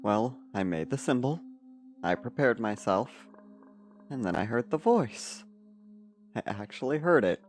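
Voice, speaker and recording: A man playing a troubled, pessimistic man in an audio fiction monologue, confessing in a halting, hesitant way. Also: mid-range voice, expressive, lightly acted. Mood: anxious, hopeful.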